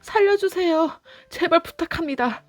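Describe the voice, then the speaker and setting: A woman's voice: very high voice, expressive, moderate pace, soft background music, voice pushed up. A woman voicing a desperate shepherd man on the run in a storybook, begging urgently. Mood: desperate pleading.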